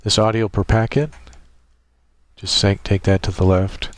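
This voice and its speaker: A man narrating a casual software tutorial, explaining step by step in an informal, halting way. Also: on the low side, little pitch movement, no room sound. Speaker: tutorial presenter.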